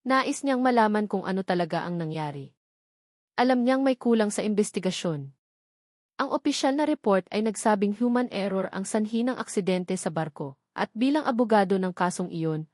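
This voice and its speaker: A woman's voice: mid-range voice, a little mellow, dry recording, studio quality. A woman with a Filipino accent reading a scripted dramatic story as its narrator.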